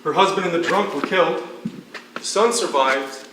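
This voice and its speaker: A man's voice: on the high side, moderate pace, pushed and bright. Man playing an echoey, matter-of-fact hospital doctor. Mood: somber. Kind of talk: naturalistic stage dialogue. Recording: echoey distant stage recording, some noise.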